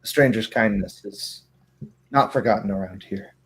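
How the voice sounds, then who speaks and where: A man voicing a grateful, humble woman who works at a dairy, speaking politely and haltingly in a tabletop game. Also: lowish voice, a bit bright, husky. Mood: grateful, apologetic.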